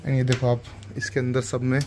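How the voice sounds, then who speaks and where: A man giving a casual, relaxed walkthrough for a vlog while showing around a hostel indoors. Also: medium pitch, normal speed, slight background noise. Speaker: travel vlogger.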